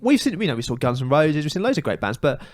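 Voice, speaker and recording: A man's voice: on the high side, big pitch swings, fast talker. A British man co-hosting a comedy podcast, chatting casually with colloquial, jokey complaints and opinions.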